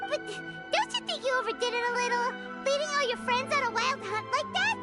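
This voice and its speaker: A woman voicing a small, excitable, cheeky fairy companion with a childlike manner in an animated video game. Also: very high-pitched, fairly quick. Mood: indignant. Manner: refers to self in third person, exclamatory. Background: music.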